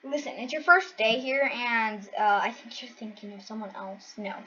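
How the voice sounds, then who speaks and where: A woman's voice: on the high side, normal speed, pushed and bright, a little hiss or hum. A woman acting out the characters of an amateur anime-style story in dramatized dialogue. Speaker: amateur voice actor for a fan-made anime story video. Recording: muffled lo-fi recording.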